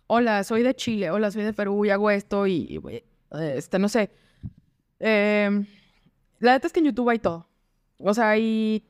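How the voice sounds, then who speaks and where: A Mexican woman speaks as an interview guest in a clear, smooth voice of medium pitch. Her delivery is informal and candid, and the sound is close and dry. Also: a little mellow, no echo. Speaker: guest, entrepreneur. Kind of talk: casual interview conversation.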